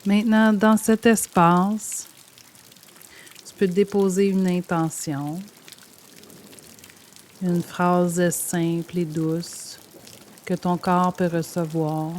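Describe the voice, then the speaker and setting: Slow, even-toned woman guiding meditation over rain. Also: fairly low pitch, slight background noise. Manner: gentle, soothing, measured with pauses. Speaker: meditation guide. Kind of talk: guided yoga nidra meditation. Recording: steady rain sound over the voice. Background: rain sound.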